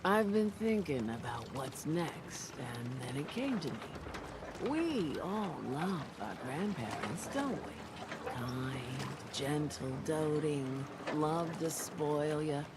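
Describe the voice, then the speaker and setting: A folksy, yarn-spinning quest giver. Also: male voice, fairly high pitch, animated, takes it slowly, background noise. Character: folksy, crafty island quest-giver man.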